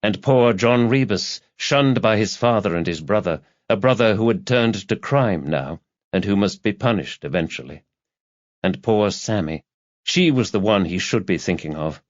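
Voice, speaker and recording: A man with a fairly low voice reads a literary thriller as an audiobook narrator. His delivery is measured and dramatic, like scripted storytelling. The sound is very dry and slightly dull, with no room around the voice. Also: expressive, no echo.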